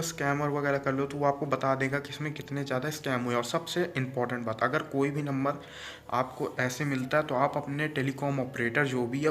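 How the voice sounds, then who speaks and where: A man's voice: medium pitch, a bit bright. A man with an Indian accent talking casually to viewers as a vlogger, explaining things directly and mixing in English words.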